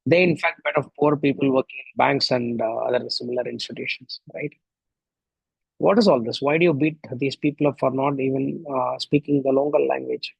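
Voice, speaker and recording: A man's voice: medium pitch, steady tone, normal speed, gravelly, slightly rough, dry recording, poor audio. A middle-aged man from India with an Indian accent and Tamil as his first language, giving a reflective solo podcast monologue full of rhetorical questions. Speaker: podcast host.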